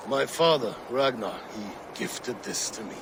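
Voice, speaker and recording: An actor playing an ailing, grief-struck Viking king in a video game, brooding and halting in his delivery. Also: mid-range voice. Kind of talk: dramatic video game acting. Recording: clear background ambient noise.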